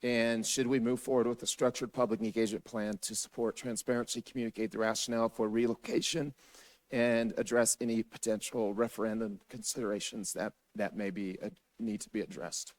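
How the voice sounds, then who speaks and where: A man with a hoarse, raspy voice giving a steady, businesslike staff briefing to a city council in council chambers. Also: lowish voice, brisk.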